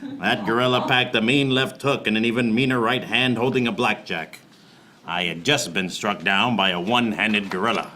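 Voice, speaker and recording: An actor playing a wry, beleaguered private eye, narrating a noir radio play in a deadpan manner. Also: male voice, on the low side, moderate pace. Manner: deadpan, fourth-wall-breaking.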